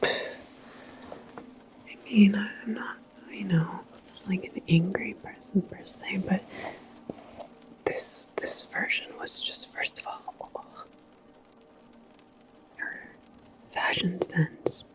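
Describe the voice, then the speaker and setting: A woman playing a calm, reassuring transit station attendant in a sci-fi ASMR roleplay, speaking in a soft, breathy whisper with a halting, chatty manner. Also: medium pitch, lightly acted, poor audio. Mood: confiding.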